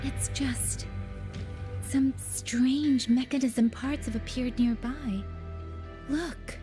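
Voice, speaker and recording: An actress playing a composed female military officer in a video game who reports in a brisk, dutiful and measured way. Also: high voice, fairly even intonation, mellow, soft background music.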